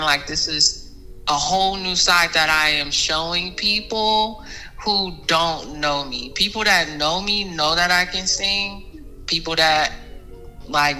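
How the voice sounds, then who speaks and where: A woman's voice: low voice, sharp tone, rough recording. A woman guest in a casual interview, talking animatedly about her music with a soothing voice, often restarting words. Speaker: podcast guest, singer.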